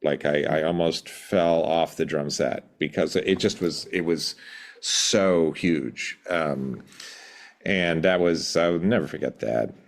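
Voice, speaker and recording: A middle-aged man reflecting in an interview, thoughtful and measured, pausing to find his words. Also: very deep voice. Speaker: podcast guest, rock band drummer.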